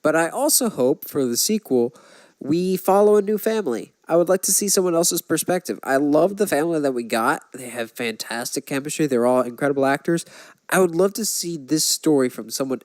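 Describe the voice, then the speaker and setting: A man's voice: a little high, expressive, brisk, darkish tone, no room sound. A man co-hosting a movie podcast, chatting casually and enthusiastically about films.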